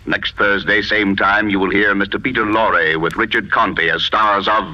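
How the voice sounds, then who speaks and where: Deep sharp man announcing on 1940s radio, formal, muffled old recording. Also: fairly even intonation, normal speed. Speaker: closing announcer. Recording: dull vintage radio recording.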